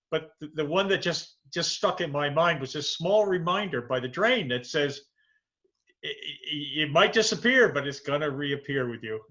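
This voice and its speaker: A man's voice: a little high, bright, forceful tone. A man hosting an interview over a video call, often repeating words as he starts his sentences. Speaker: host, interviewer. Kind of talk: interview conversation. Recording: dull lo-fi sound.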